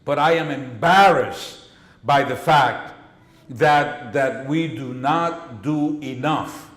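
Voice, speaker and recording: A man's voice: mid-range voice, slow pace, fairly bright tone. An older man lecturing at a public seminar, speaking emphatically and repeating words to drive points home. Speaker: guest speaker, educator. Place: lecture hall, at a podium. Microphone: podium microphone.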